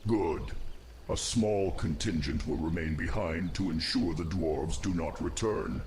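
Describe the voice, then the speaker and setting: An extremely low-voiced undead commander in a fantasy game, acted by a man. He is grim and disciplined, giving measured, formal orders over faint noise. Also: darkish tone. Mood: commanding. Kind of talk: video game cutscene acting.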